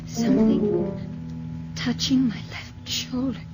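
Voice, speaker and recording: A woman's voice: a little high, steady tone, slow, over music. An actress playing a frightened young woman in a 1950s radio drama, anxious and pleading.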